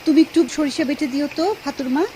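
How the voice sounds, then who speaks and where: A woman's voice: high voice, fairly even intonation, fast, dark tone, a little hiss or hum, lightly acted. A woman acting a lonely, devoted housewife in a Bengali radio drama, speaking expressively and tenderly. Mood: kindly, caring.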